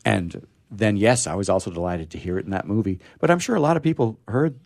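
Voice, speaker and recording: A man reading poetry aloud in a measured way and commenting on it casually, as on a radio program. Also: on the low side, expressive, gravelly, a little mellow.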